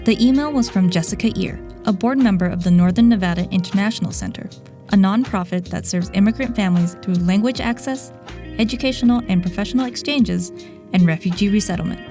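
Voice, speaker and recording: A woman hosting a podcast, giving a measured, reflective scripted narration. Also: medium pitch, warm tone, soft background music. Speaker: podcast host.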